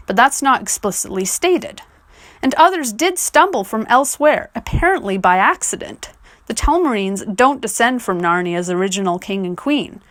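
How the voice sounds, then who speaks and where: A woman's voice: fairly high pitch, fairly bright tone, no room sound, studio quality. A woman delivering scripted video essay commentary in an articulate, questioning manner.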